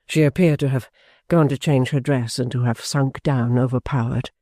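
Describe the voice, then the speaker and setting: A man voicing a grave, kind gentleman who speaks earnestly with pity and a hint of reproach. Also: mid-range voice, fairly even intonation, normal speed, no echo, clean studio sound, close to an everyday voice. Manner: pitying, earnest.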